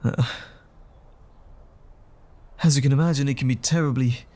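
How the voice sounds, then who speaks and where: A man playing a troubled, apologetic gentleman scientist in an audio roleplay drama, speaking in a formal, halting, anxious way. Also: medium pitch, fairly even intonation, close to an everyday voice. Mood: anxious, strained.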